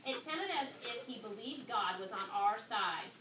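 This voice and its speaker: A roomy, somewhat distant recording with clear background noise carries a woman teacher's voice. She reads aloud and instructs in a fairly high voice, stopping to put questions to her young students. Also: expressive, a little slow. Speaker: fifth-grade teacher. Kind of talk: classroom read-aloud and instruction. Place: classroom.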